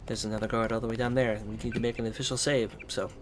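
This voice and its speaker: A man from the United States with a stuffy, congested voice, casually talking through a video game as host and rambling with many pauses and ums. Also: mid-range voice, flat delivery, slight background noise. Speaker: let's play host. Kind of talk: casual gameplay commentary.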